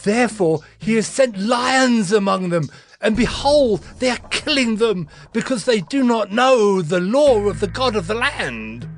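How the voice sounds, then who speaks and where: A messenger at an ancient court in a scripture audio drama. He reports earnestly and gravely, declaiming over music. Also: sits high, animated, unhurried. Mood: alarmed report.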